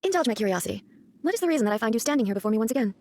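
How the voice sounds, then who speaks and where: A woman's voice: fairly high pitch, animated, a little mellow. A woman voicing a cold, solemn female deity in a video game cutscene, formal and commanding. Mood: cold, imperious. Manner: formal, commanding, measured phrasing.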